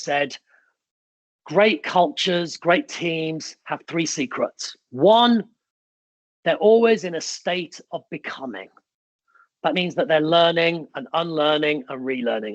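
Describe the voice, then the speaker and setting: A man's voice: a little high, a bit bright, no echo, rough recording. A man who grew up in the UK, with Italian as his first language, giving a motivational keynote in an emphatic storytelling manner with short punchy phrases. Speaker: keynote speaker.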